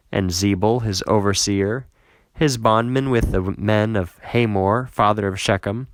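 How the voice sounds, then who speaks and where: A man's voice: lowish voice, no echo. Man reading as a defiant, declamatory rebel. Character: defiant, boastful male rebel challenging a ruler.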